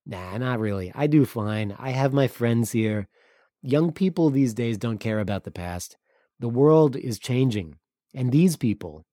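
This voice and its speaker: A man's voice: medium pitch, expressive, measured pace, dark tone, no room sound, clean studio sound, close to an everyday voice. A man voicing a cynical, guarded Crimean local in an audiobook, speaking bluntly and emphatically. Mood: resigned. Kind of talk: audiobook character dialogue.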